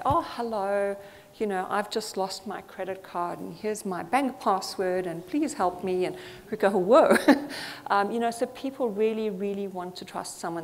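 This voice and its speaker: Woman from Zambia, earnest conference speaker, mid-pitched voice, long hall reverb. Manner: earnest, thoughtful, with fillers. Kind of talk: conference talk. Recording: reverberant hall with faint noise.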